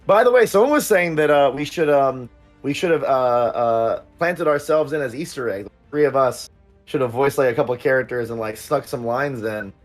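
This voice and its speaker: A man's voice: medium pitch, moderate pace, soft background music. A man hosting a casual livestream and chatting to viewers in a rambling, upbeat way full of fillers. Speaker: producer and stream host.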